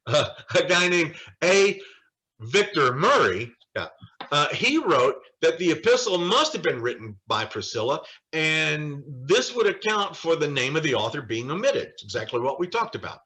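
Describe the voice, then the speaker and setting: A man's voice: a little high, pushed and bright, rough recording. A man leading a Bible study as an informal lecture from notes, speaking emphatically with wry asides. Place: online video call study session.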